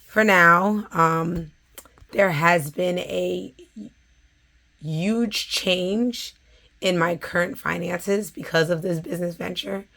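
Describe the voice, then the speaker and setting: A woman, talking casually to her viewers in a hoarse, scratchy voice, with plenty of fillers. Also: lowish voice, a bit bright. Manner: chatty, with frequent fillers. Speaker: vlogger. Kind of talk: casual chat.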